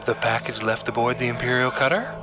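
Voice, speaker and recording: A man's voice: mid-range voice, fairly quick, over music, natural voice. A man playing a smug, sinister cult leader in a science fiction audio drama, formal and grandiose. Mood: sinister, pious. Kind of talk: audio drama villain acting.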